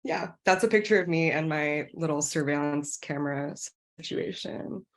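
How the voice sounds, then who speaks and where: A woman's voice: low-pitched, normal speed. A woman researcher and artist giving a casual, hesitant project introduction on a video call, with many fillers.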